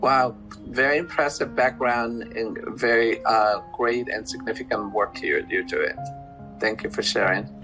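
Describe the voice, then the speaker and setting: A man's voice: mid-range voice, measured pace, music in the background, low-quality recording. A man hosting a formal interview, introducing and questioning a guest. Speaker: interviewer, head of a health program.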